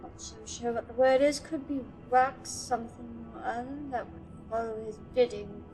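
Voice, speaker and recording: Casting: actress as scholarly sci-fi archive keeper, nervous stammer, deferential yet fussy, pitched high. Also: female voice, fairly even intonation, moderate pace, darkish tone, soft background music, close to an everyday voice, rough recording. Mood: scholarly excitement. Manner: nervous, stammering, deferential, bossy to her assistant. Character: flustered, eager scholarly female archive curator serving a Sith. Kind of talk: fan audio drama acting.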